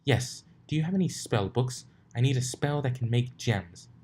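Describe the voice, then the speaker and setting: A man's voice: medium pitch, lively intonation, moderate pace, darkish tone, slight background noise, pitched above the performer's own voice, natural voice. A man voicing a frantic female unicorn fashionista in a theatrical, pleading manner for a story reading. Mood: urgent, pleading.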